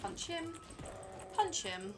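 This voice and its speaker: A woman's voice: very high voice, very animated, slow pace, noisy background. A British woman streaming a game, talking to herself and chatting to viewers in a dry, rambling way. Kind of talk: live gameplay commentary.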